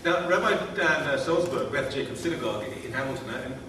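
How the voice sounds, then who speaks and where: A man's voice: medium pitch, expressive, fast talker. A man in his fifties hosting a panel, introducing guests with wry jokes and many fillers. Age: 50s. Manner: wry, self-deprecating, hesitant with fillers. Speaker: panel host. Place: large lecture hall. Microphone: table mic, distant camera. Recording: echoey hall from far off, noisy.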